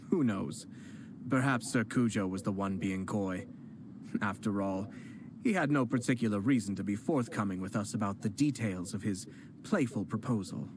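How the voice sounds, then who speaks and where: A man voicing a composed young male noble clan head who speaks in a measured, formal and polite way in a game. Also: mid-range voice, background noise, close to an everyday voice. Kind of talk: video game voice acting. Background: game music.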